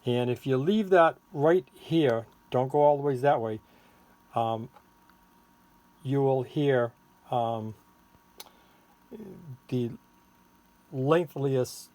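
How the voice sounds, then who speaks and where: A man giving a casual hands-on product demonstration as a seller, halting and thinking aloud while he works the controls. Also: mid-range voice, a little mellow. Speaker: seller demonstrating a homemade electronics gadget. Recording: clearly noisy, with electronic tweets and siren-like tones.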